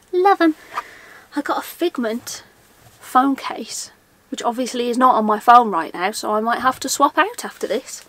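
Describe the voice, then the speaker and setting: A young woman with a fairly high voice chats through a haul vlog in a casual, self-mocking way. She asks herself questions aloud and talks as though to friends. She is recorded indoors in a shelf-lined room by a camera set just in front of her. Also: a bit bright, a little hiss or hum. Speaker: vlogger.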